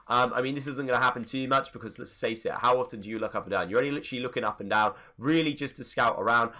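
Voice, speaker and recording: Gaming YouTuber, male, bright tone, bunged-up nose, rambling tutorial delivery. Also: mid-range voice. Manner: chatty, rambling asides. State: congested from a cold. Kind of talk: casual settings tutorial voice-over.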